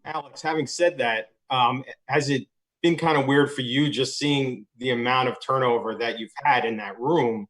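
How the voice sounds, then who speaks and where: Guy reporter on a video call, middle pitched voice, rambly roundabout questions. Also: moderate pace, a bit bright, rough recording. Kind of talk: press questioning. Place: video press conference call.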